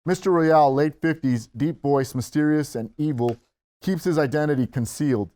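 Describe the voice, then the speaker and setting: A man's voice: a little high, moderate pace, warm tone. A man narrating screenplay stage directions at a table read.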